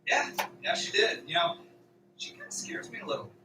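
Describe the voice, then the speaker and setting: A man's voice: high-pitched, huge pitch swings, normal speed. A man playing a skeptical, exasperated young farm worker in an amateur radio drama, dismissive and sardonic. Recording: roomy, distant mic, noisy background.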